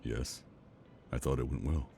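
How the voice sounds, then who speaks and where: A devoted, steady partner in an intimate audio drama. The man's voice is extremely deep and dark, calm and reassuring, with faint ambient noise behind it. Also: measured pace, gravelly, full character voice. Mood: concerned. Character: steady, devoted husband-like partner and secret father.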